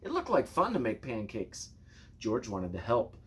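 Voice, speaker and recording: A man narrating a children's storybook in an expressive, playful way, speaking directly to young listeners. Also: mid-range voice, normal speed. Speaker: storybook reader.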